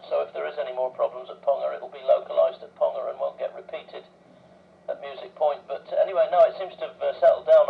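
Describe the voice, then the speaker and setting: A man's voice: medium pitch, creaky. A man chats casually and jokily as an amateur operator over two-way radio, often tagging statements with questions. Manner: chatty, jokey, tags statements with questions. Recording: muffled, noisy two-way radio transmission.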